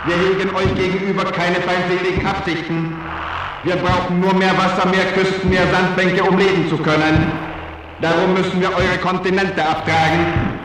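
A man playing an echoing, monotone newt overlord. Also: sits high, music in the background, lightly acted. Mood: cold, polite menace. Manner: measured, flat, coolly polite menace. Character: Chief Salamander, male leader of intelligent newts, coldly courteous. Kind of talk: formal radio address in a radio play. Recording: distant, very echoey, noisy radio transmission.

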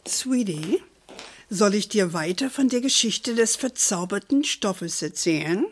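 A woman's voice: mid-range voice, expressive, a little hiss or hum, close to an everyday voice. An actress playing an older grandmother with an American-tinged German accent, tenderly telling her family history in a children's radio play. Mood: tender, reminiscing. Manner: measured, tender, sprinkles in English endearments. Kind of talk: gentle bedtime storytelling in a children's radio play.

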